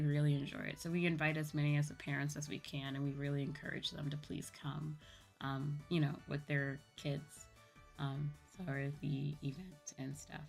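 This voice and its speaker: A young woman with an American accent and a low-pitched voice chats casually on a livestream. She rambles informally and corrects herself as she goes, with music playing clearly behind her. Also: fairly bright tone. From United States. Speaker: livestreamer, virtual YouTuber. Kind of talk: casual chat with live viewers. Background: background music.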